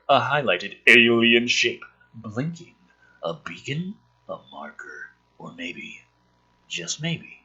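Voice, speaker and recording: A man with a very bright, forceful voice that has a rough, husky edge. He reads script aloud with exaggerated drama, then drops into rambling, sarcastic, profane commentary as the host. His pitch swings around in a lively way. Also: poor audio. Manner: sarcastic, exaggerated dramatic delivery when reading. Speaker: host reading and commenting on a film script draft. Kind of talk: casual sweary commentary mixed with dramatic script read-aloud.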